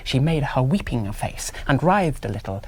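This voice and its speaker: An actor playing an earnest, priggish young rationalist hero, narrating a radio drama in precise, fastidious literary phrases. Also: male voice, mid-range voice, very animated, breathy edge. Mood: pitying.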